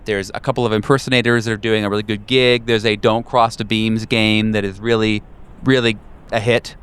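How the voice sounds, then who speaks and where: A man narrating a horror tabletop game as game master, descriptive and deliberate, pausing between phrases. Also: on the low side, little pitch movement, fairly bright tone, smooth.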